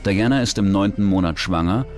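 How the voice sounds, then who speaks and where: A man's voice: low-pitched, a little fast. A man reading scripted narration for a true-crime documentary. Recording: music bed under narration. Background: music.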